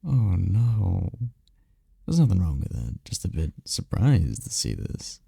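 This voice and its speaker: Man voicing a deep, gravelly, tender incubus boyfriend. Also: wildly swooping pitch, takes it slowly, no echo, clean studio sound, heavily acted. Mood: tender, reassuring. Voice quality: gravelly, dark low voice. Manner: soft, soothing, teasing, lapses into whispers. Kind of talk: intimate ASMR roleplay, comforting. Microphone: close microphone, dry.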